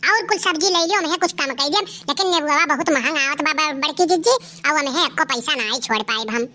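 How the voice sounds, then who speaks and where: A woman plays a stubborn village woman running a vegetable stall in a cartoon. Her voice is high and bright, with an Awadhi accent. She argues fast and scolds freely. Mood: defensive. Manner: scolding, argumentative. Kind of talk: cartoon comedy dialogue.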